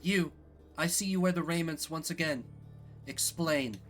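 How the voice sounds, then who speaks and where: A man's voice: on the high side, a little slow, a bit bright, soft background music, voice pushed up. Man voicing a stern female gate guardian. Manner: commanding, formal.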